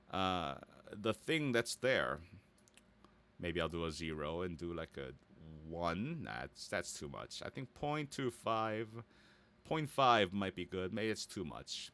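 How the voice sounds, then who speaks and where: A man giving a casual, easygoing software tutorial, thinking aloud and muttering small asides as he works. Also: lowish voice. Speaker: voice actor giving a website-building tutorial.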